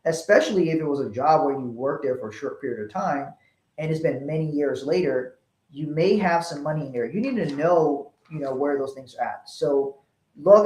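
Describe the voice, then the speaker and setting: A man's voice: fairly high pitch, a little mellow. A man from Liberia presenting a financial webinar in a conversational, explanatory way. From Liberia, West Africa. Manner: explanatory, illustrates points with acted-out dialogue. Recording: roomy echo.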